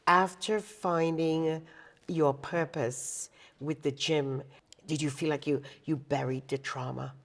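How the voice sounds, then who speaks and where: A woman hosting a talk show interview in an affirming, expressive way. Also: low voice, takes it slowly.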